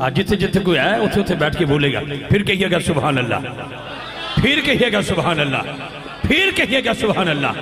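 A middle-aged man speaks in a high, ringing register through a close handheld microphone. His devotional oratory builds in rhythmic, chant-like waves as he leads the listeners in call-and-response. The sound carries a roomy echo over the murmur of a large outdoor crowd. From Pakistan. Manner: rhythmic rising chant-like delivery. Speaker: naqabat presenter at a religious gathering. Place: large decorated open-air gathering in a bazaar. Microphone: handheld stage microphone held close. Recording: echoey public address before a large crowd.

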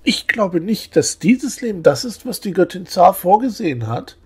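A man playing a devout, righteous young man full of doubt in a fantasy audio drama, speaking haltingly and solemnly. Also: high voice, sing-song, husky, exaggerated voice. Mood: troubled doubt. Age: young adult. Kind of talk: fan-made fantasy audio drama acting.

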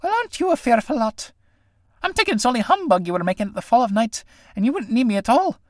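A woman plays a jittery country priest, a man who coaxes and dodges in a lively, rising voice. The sound is dry and close. It suits a read-aloud stage play. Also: fairly high pitch, fast talker, dry recording, voice pushed up. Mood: uneasy. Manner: uneasy, coaxing. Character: nervous, evasive middle-aged village priest, male.

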